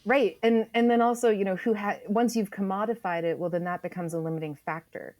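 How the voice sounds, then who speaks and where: A woman's voice: mid-range voice, steady tone, brisk, a little mellow, no echo. A woman speaking as a guest author in a thoughtful podcast interview, often repeating words as she forms her thoughts.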